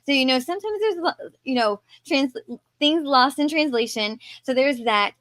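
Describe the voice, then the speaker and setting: A woman with a high voice speaks in a dry, echo-free sound. She chats casually as a podcast co-host, giggly and a little hesitant as she works through her thoughts. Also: animated, normal speed, fairly bright tone.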